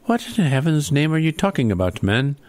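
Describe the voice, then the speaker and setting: A man's voice: a little high, big pitch swings, fairly quick, a little mellow, no echo. A man voicing a pompous, easily exasperated leprechaun chairman in a comic radio play. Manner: pompous, exasperated formal address. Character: bossy leprechaun chairman running a meeting.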